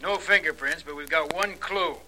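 A man's voice: fairly high pitch, normal speed, noisy background, natural voice. A man acting a brash, stubborn police sergeant in a radio detective drama, blunt, insistent and hectoring. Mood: businesslike. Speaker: actor.

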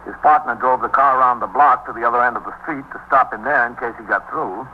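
An actor playing a calm, commanding police precinct captain in a 1950s radio drama, speaking in a clipped, matter-of-fact way. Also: male voice, medium pitch, fast, lightly acted. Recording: muffled, noisy 1950s radio recording.

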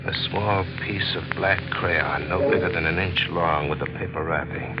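A man's voice: low-pitched, fairly even intonation, normal speed, highs cut off, natural voice. An actor playing a frightened but scheming taxi driver and family man in a 1940s radio mystery. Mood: tense, brooding. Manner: stammering when speaking, hushed tense narration. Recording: old radio recording with loud music.

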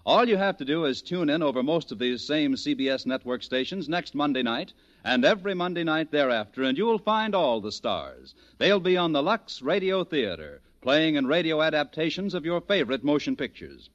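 A man's voice: on the high side, sing-song, moderate pace. A man announcing in scripted 1940s network radio style. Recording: dull vintage radio recording.

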